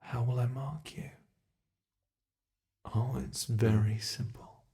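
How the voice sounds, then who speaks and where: Slow, gravelly, taunting monster played by a man. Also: mid-range voice, fairly even intonation, warm tone. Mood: sinister, playful. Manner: taunting, theatrical, drawn-out menacing delivery with breathy whispers. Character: sadistic playful ancient male monster hunting human prey. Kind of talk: ASMR roleplay, scripted monologue.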